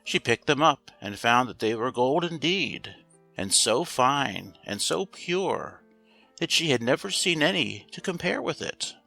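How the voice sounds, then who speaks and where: A man narrating a scripted fairy tale as a storyteller, reading aloud in a measured, expressive way. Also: mid-range voice, gravelly. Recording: roomy echo, faint music behind.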